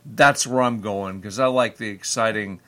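A man's voice: on the low side, normal speed, bright, forceful tone. A man co-hosting a casual podcast, reading news items aloud with sardonic jokes and some stumbles. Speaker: podcast co-host.

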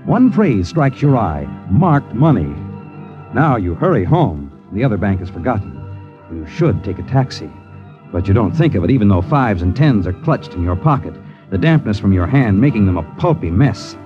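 Suspense narrator, ominous, mocking, deliberate, low-pitched, 1950s radio drama, music underneath, muffled recording. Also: male voice, big pitch swings. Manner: dramatic, deliberate, addresses character as you. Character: ominous, taunting second-person storyteller. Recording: dull vintage radio recording, music behind.

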